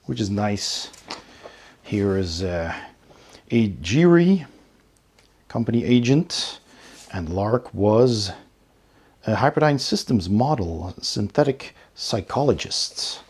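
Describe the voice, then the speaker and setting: A man with a fairly low voice and a noticeably husky edge talks through an unboxing as a channel host. He takes his time, speaking very slowly and pausing between items in a casual show-and-tell manner. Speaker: tabletop game reviewer and channel host.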